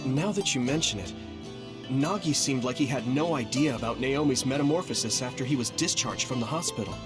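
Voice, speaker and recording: A man's voice: medium pitch, a little fast, over music, close to an everyday voice. A man playing an earnest, courteous young squad leader in a video game, troubled and full of questions. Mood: concerned. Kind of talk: anime-style video game cutscene acting.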